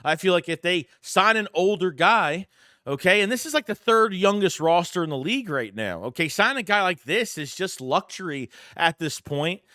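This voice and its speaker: Guy with a stuffy nose doing sports talk, bright tense voice, dry close sound, rambles a lot. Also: high voice, lively intonation, normal speed, dry recording. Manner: rambling, conversational, many fillers and restarts. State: congested, under the weather. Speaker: sports channel host. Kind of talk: casual sports news vlog.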